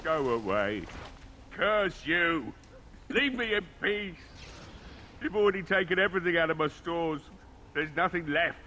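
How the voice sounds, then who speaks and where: A cantankerous blacksmith from a fantasy game, with a forceful, fairly high male voice. He is curt and bitter, and there is faint noise behind him. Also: expressive, a little slow, pauses often. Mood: bitter, angry. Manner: curt, surly. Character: bitter, cantankerous male village blacksmith locked in his smithy. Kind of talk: video game character dialogue.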